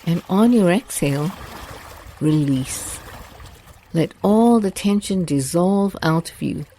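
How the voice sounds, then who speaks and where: A woman leads a guided meditation in a soft, mellow voice, taking her time over every phrase. Her delivery is slow and soothing, with gentle water sounds faintly behind her. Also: lowish voice, lively intonation, a little hiss or hum.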